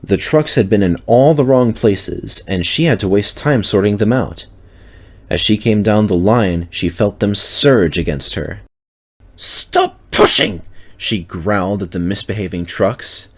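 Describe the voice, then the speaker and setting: A man's voice: fairly low pitch, a little slow. A man reading a children's story aloud as narrator, in a scripted, dramatic storytelling style.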